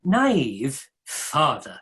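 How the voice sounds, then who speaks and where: An earnest young Victorian gentleman with a defiant streak, acted by a man. The voice sits at a medium pitch and the delivery is that of a formal stage play. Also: wildly swooping pitch, very slow, full character voice. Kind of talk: dramatic play reading.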